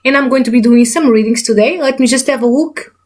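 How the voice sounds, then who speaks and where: Portuguese-accented woman, a psychic medium hosting a live stream, chatty, fairly high voice. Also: fast talker, darkish tone. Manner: chatty, greets viewers by name, repeats catchphrases. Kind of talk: casual live-stream chat.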